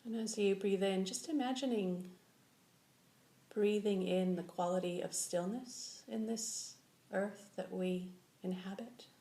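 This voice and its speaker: A woman leading a guided meditation as a teacher, giving slow, gentle instructions with long pauses. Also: medium pitch, fairly even intonation. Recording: echoey room with faint background noise.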